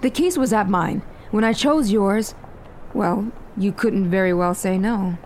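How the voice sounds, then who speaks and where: A woman's voice: mid-range voice, measured pace, darkish tone, slight background noise, lightly acted. A woman playing a cool, calculating operative on the run in an audio fiction drama. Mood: teasing, cool.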